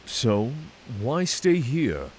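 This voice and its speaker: A man's voice: mid-range voice, wildly swooping pitch, extremely slow, full character voice. A man playing a courteous, candid dragon in a fantasy audio drama, speaking in formal phrases. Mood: calm, candid. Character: giant male dragon in mortal company, courteous, candid. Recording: clear background hiss.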